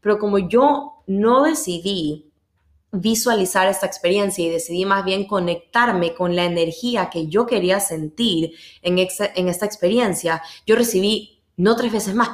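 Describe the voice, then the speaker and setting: A woman's voice: medium pitch, normal speed. A woman with an Ecuadorian accent hosting a podcast, telling personal stories casually and emphatically, repeating words for stress. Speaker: podcast host. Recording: echoey, roomy sound.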